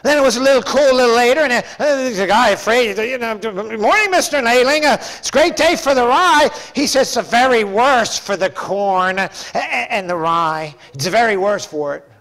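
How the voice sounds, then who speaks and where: High-pitched, emphatic male preacher. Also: moderate pace, few pauses, fairly bright tone, rough recording. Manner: emphatic, folksy, conversational with raised-voice bursts. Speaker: pastor. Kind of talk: sermon. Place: church sanctuary, preaching from a pulpit.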